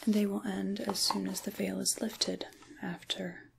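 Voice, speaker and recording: Woman doing an old witch asmr roleplay, super slow breathy whispering w some vocal fry, kind n wry, musing. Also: medium pitch, level tone, a little hiss or hum. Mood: soothing, reflective. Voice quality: breathy, whispery soft speaking. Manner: slow, musing, gently reassuring. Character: ancient kindly witch tarot reader, unhurried and wry. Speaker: ASMR role-play performer. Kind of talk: ASMR soft-spoken role-play.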